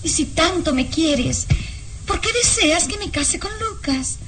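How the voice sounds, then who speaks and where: An actress playing a young, anguished but defiant noble daughter in a melodramatic radio drama, pleading and insistent. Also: female voice, very high-pitched, lively intonation, measured pace, fairly bright tone, exaggerated voice. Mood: anguished, accusing.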